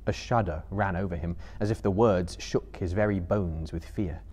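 A man in his thirties giving a measured, theatrical literary narration as a live dramatic reader. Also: low voice, darkish tone, slightly rough. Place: seated indoors on a book-lined stage before a live audience.

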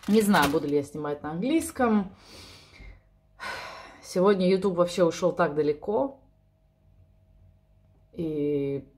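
A woman, chatting spontaneously and a little self-ironically to camera from her home kitchen. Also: fairly low pitch. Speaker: vlogger. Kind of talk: spontaneous casual chat to camera. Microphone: camera or phone on the table.

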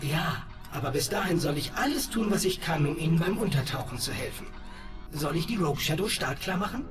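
A man's voice: a little high, lively intonation, a little fast, lightly acted. A man playing a polite, fussy servant droid in a video game, with a formal, deferential manner. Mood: eager, polite. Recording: distant sound under loud music.

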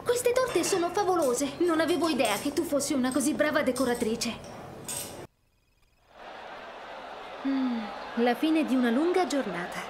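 A woman playing a hopeful, hardworking, kind young restaurateur in an animated game dub. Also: sits high, normal speed, background noise. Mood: kind, earnest. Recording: crowd and street ambience behind.

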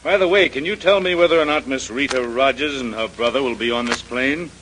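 Actor as a blustery, grumbling man, bright voice. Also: medium pitch, brisk, natural voice. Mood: blustery, jovial. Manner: genial, blustery, old-fashioned clubby address. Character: grumbling, half-jovial husband of a movie star. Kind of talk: 1930s radio acting. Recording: noisy old radio transcription.

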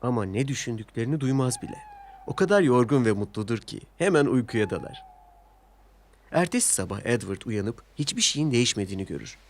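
Low-pitched man narrating a children's story. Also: sing-song, brisk. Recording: music behind the voice.

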